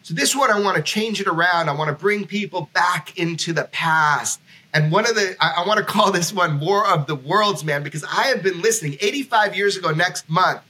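A male podcast host with a fairly high, sharp, forward voice. He talks casually and excitably, putting emphasis on his points in an informal way. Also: fairly quick, rough recording. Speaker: talk show host. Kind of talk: enthusiastic casual podcast talk.

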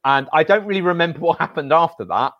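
Loud, animated journalist man. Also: a little high, fast talker, no room sound, lo-fi sound. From Northeast England. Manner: animated storyteller, voice jumps when excited. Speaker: sports journalist. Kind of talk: casual podcast chat.

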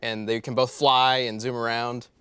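Guy hosting a podcast panel, just chatting, medium pitch, dry close sound. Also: flat delivery, normal speed, pushed and bright, clear, resonant tone, dry recording. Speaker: podcast host. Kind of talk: casual panel chat.

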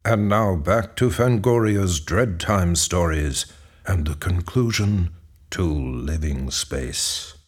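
A man's voice: low voice, slow, husky. A man working as an announcer, reading scripted links for a horror audio show.

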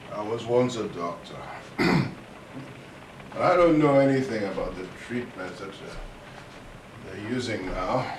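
An actor playing a bitter, middle-aged former doctor, drunk and halting with guilt and self-pity, in an audio drama. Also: male voice, medium pitch, close to an everyday voice. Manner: halting, self-pitying, swearing, drunken rambling. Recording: roomy, distant, noisy audio drama recording.

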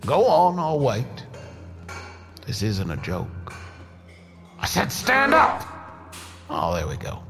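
An actor playing a sinister, chummy ghoulish workout host in theatrical horror-host narration, folksy, teasing and menacing. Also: male voice, mid-range voice, huge pitch swings, takes it slowly, vocal fry, long pauses, fairly bright tone, soft background music, full character voice. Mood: barking, then menacing glee.

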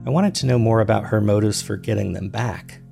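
A man narrating a first-person audio drama as a weary, reflective man, measured and introspective in his storytelling. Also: lowish voice, gravelly, over music. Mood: tired, uncertain. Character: weary man recounting strange events, reflective and uneasy. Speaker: narrator and host of a fiction podcast.

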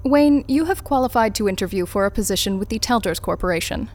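An actress playing a calm, officious corporate interviewer in an audio drama, speaking formally and with composure. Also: female voice, mid-range voice, fast, lightly acted. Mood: composed, formal.

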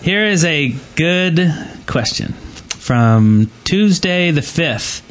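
A man's voice: a little high, expressive, drawn-out words. A man hosting a podcast, chatting casually and reading out listener questions.

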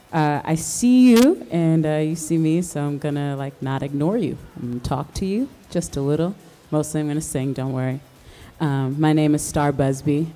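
Low, mellow woman bantering playfully onstage. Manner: playful, informal. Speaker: musical performer. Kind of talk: casual stage banter.

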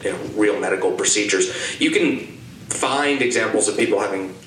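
A man's voice: fairly low pitch, moderate pace, a bit bright, recorded from a distance, a little hiss or hum. Man speaking in a roomy hall. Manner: careful, defining terms precisely. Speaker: author speaking at a talk. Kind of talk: public talk. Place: law school event room. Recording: roomy sound from off the mic.

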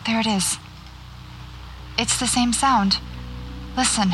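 An actress with an American accent playing a guarded, wry horror writer in a naturalistic audio drama, speaking tersely and dryly. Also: female voice, fairly high pitch, fairly even intonation, slow, a bit bright, slight background noise. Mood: intent.

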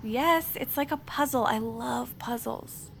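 An actress playing an eager, quirky society wife in an audio comedy, earnest and easily flustered. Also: female voice, a little high, a little slow, gravelly, slight background noise, poor audio. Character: Trudy, eager, quirky, excitable society wife and loyal friend. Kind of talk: audio fiction comedy acting.

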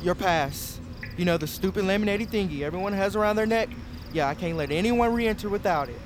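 An actor playing a brash, dim young convention door guard who talks in slangy, dismissive phrases. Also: male voice, high voice, a little fast, background noise, close to an everyday voice. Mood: cocky, dismissive.

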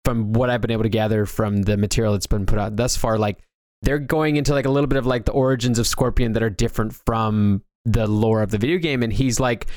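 A man's voice: on the low side, steady tone, quick pace, a little mellow, no echo. A man hosting a casual pop-culture discussion, talking in a loose, rambling way and often repeating himself. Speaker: podcast host. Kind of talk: casual discussion of video game movies.

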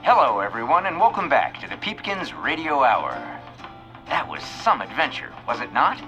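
A man playing a showy radio host and emcee with a booming showman delivery in an audio drama. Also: very animated, moderate pace, music in the background, rough recording. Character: showy male radio announcer.

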